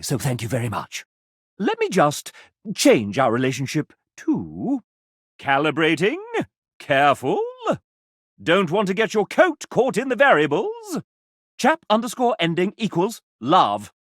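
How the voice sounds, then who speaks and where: A cheeky, flamboyant mascot from a video game, smug and flirtatious. His delivery is theatrical and unhurried, with huge leaps in pitch. The sound is very dry and close. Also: fairly bright tone, no room sound, full character voice. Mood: smug, showy. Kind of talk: video game character voice acting.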